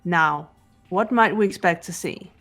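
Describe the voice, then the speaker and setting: A woman playing a cool, analytical teenage girl detective in a game voice-over, speaking in a measured, serious way. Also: lowish voice, a bit bright. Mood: businesslike. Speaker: amateur voice actor. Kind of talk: fan voice-over of a visual novel game. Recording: game music under the voice.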